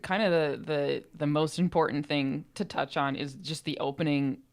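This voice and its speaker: A woman's voice: low voice, steady tone, normal speed. A woman co-hosting a podcast in casual chat, with a playful, joking manner. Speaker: podcast co-host and co-writer.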